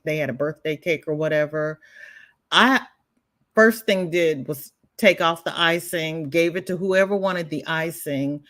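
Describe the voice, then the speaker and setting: A woman's voice: deep voice, a bit bright. A woman hosting a crochet livestream, chatting casually and rambling, reading out viewer comments, with a congested voice and frequent restarts. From American South. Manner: rambling, chatty, self-interrupting with repeated starts.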